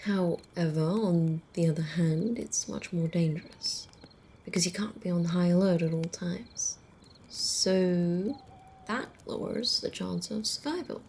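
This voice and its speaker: A woman playing a centuries-old female vampire in an ASMR roleplay, wry, teasing and casually menacing, in a soft, breathy, often whispered voice. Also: on the low side, slight background noise. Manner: unhurried, amused, conversational.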